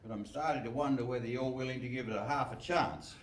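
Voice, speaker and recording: A man's voice: medium pitch, fast talker, lightly acted. A man playing an exasperated, indignant scriptwriter heckling from the audience in a live radio play, recorded in a hall. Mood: testy. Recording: distant echoey live hall.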